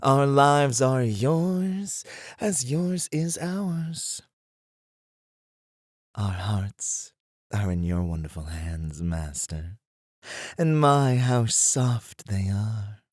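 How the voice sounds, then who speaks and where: A man playing a flirty, devoted incubus demon in an ASMR roleplay, teasing his master with effusive flattery. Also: mid-range voice, drawn-out words, dry recording, clean studio sound. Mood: adoring, flirty.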